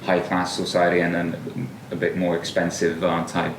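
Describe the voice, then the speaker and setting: A young adult man from Finland, chatting casually as a podcast guest, relaxed and thoughtful with many fillers and restarts. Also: low-pitched, normal speed. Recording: roomy, distant mic, faint noise.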